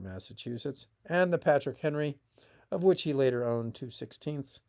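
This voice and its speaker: A man narrating a scripted piece of family history as its author, in a measured reading with emphatic phrase openings that fall away. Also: medium pitch, a little mellow. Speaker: author reading own essay.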